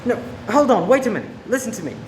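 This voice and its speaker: A man's voice: extremely high pitch, very animated, quick pace. A man playing a protective, persuasive police sergeant in love in a radio play. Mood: urgent, pleading. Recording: echoey room with faint noise.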